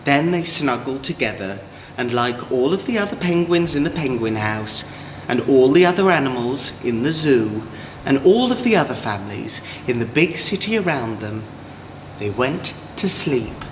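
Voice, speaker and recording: A man with an English accent, a drag queen storyteller, reading a children's story in an expressive, gentle way. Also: mid-range voice, moderate pace. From Manchester, England. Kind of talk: children's storybook read-aloud. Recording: echoey room, clear background noise.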